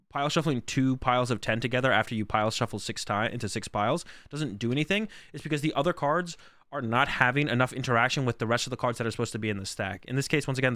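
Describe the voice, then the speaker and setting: A man's voice: mid-range voice, a bit bright, dry recording, clean studio sound. A man who is sick and losing his voice, rambling through casual stream commentary with lots of fillers. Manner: rambling, filler-heavy, thinks aloud.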